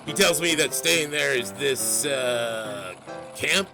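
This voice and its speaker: An actor playing a blunt, bossy newspaper editor with a gravelly voice. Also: male voice, medium pitch, animated, slow, music in the background, low-quality recording. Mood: gruff. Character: gruff blustery male newspaper editor.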